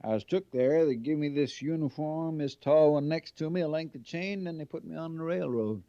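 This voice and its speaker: A man plays a sullen frontier jailbird pressed into uniform, laconic and ungrammatical. The voice is soft-edged and mellow, close and dry on a dull old radio western recording. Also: a little high, normal speed, dry recording, close to an everyday voice. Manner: laconic, folksy ungrammatical speech. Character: sullen, uneducated jailbird forced into army recruitment.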